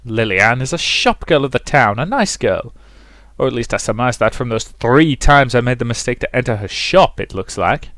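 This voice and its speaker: A man's voice: medium pitch, animated, moderate pace, fairly bright tone, dry recording, studio quality, close to an everyday voice. A man voicing a suave, defensive aristocratic fiancé from a visual novel, protesting in a weary, formal way.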